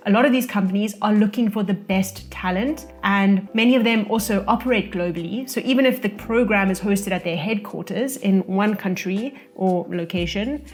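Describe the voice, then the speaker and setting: A young South African woman talking casually to camera, giving career advice from her own experience. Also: mid-range voice. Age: young adult. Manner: relaxed, conversational, speaks from own experience. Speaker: vlogger sharing career advice. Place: indoor room, losing daylight.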